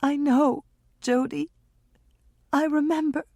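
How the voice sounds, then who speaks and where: A woman reading an audiobook and voicing a fragile, tearful young woman who speaks softly and pleadingly. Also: sits high, steady tone, slow pace, no room sound, studio quality, voice pushed up. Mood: sad, tearful.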